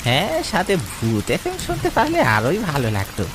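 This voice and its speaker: A man's voice: fairly high pitch, huge pitch swings, fairly quick. A man playing a chatty villager at a tea stall in cartoon dialogue. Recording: noisy rainstorm ambience behind voice.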